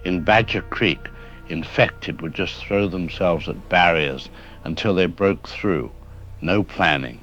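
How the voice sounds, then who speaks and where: A very deep-voiced man as a haunted scientist calling in to a radio show. He sounds grave and alarmed, halting and then emphatic as he explains. Music runs under his voice. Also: fairly bright tone, highs cut off. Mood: intense, analytical. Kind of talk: audio drama interview acting.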